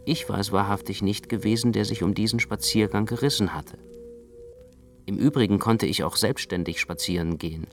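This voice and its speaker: Low-voiced male literary narrator over music. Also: a little fast. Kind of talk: literary first-person scripted narration.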